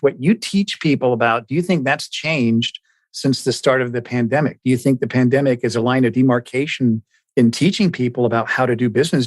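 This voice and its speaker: A man's voice: mid-range voice, dry recording. A man hosting a conversational interview podcast, inquisitive and reflective as he frames questions for a guest.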